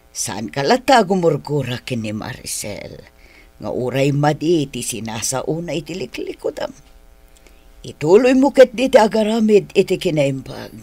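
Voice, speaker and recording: A pious, inquisitive older auntie in a radio drama. She speaks with an Ilocano accent and lively swings of pitch, motherly and probing, in a dry studio sound. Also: breathy edge, dry recording, full character voice. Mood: gentle, counselling.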